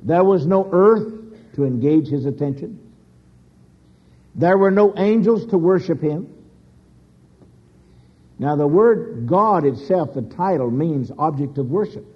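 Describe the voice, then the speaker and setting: A man preaching a sermon slowly and emphatically, with dramatic pauses and sudden rises in pitch. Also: lively intonation, slightly rough. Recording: dull lo-fi recording.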